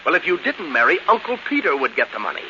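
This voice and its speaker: An actor playing an earnest, insistent young secretary in a 1940s radio drama, urgent and emphatic. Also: male voice, fairly high pitch, very animated, fast talker, bright, forceful tone, exaggerated voice. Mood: urgent accusing. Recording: muffled, hissy 1940s radio recording.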